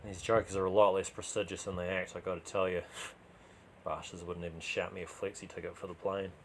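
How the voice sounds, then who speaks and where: A man narrates a crime story he wrote. His voice sits low, and he tells it in the first person as a dramatised reading. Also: little pitch movement, a little fast, a little hiss or hum.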